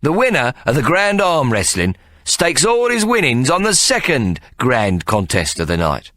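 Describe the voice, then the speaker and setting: A man's voice: medium pitch, huge pitch swings, moderate pace, pushed and bright, no echo, clean studio sound, voice pushed up. A man voicing a sly, scheming male showman who announces grandly and wheedles. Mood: grand announcing.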